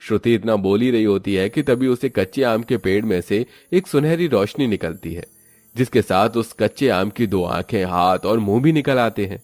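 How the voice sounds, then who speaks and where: A man's voice: on the low side, animated, normal speed, a little mellow, dry recording, faint music behind. A man narrating a scripted moral story as its storyteller.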